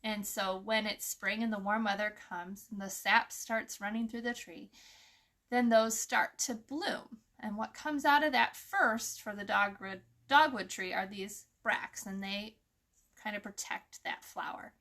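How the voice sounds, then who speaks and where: A woman's voice: medium pitch, steady tone, measured pace, bright, forceful tone. A woman hosting a children's story time, explaining things informally and putting questions to her young listeners. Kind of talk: informal nature lesson for children, with read-aloud.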